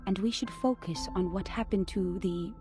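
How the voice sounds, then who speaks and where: A woman voicing a reflective female narrator reading out her own written account, measured and wistful. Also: medium pitch, over music, natural voice. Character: reflective woman recalling a strange acquaintance. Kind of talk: game narration of a written record.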